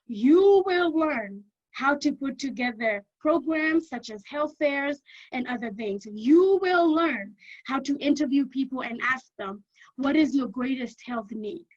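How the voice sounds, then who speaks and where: A woman with a Kenyan accent giving an energetic informational talk to prospective students, often checking in with "okay?". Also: high-pitched, a little slow, lo-fi sound. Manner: energetic, keeps checking in with "okay?", repeats for emphasis. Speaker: university lecturer.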